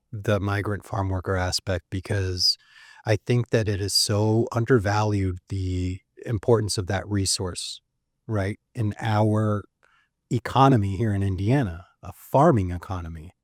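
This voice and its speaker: A man's voice: on the low side, a little slow, no echo, clean studio sound. A man hosting an interview podcast, asking casual questions with frequent "uh"s. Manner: warm-up questions, frequent filler "uh". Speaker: podcast host.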